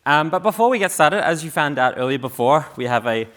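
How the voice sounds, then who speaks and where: A man's voice: a little high, animated, a little fast, a bit bright. A man in his thirties from the UK giving a casual, joking conference talk on stage in a large hall. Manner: joking, chatty, many fillers. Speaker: conference speaker. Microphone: stage mic.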